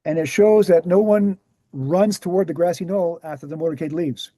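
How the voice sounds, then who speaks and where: A man playing an earnest researcher in a screenplay table read, explaining things in a measured, assured way. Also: a little high, warm tone, no echo, close to an everyday voice. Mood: assertive. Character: Gary, earnest male presenter, calm debunking researcher.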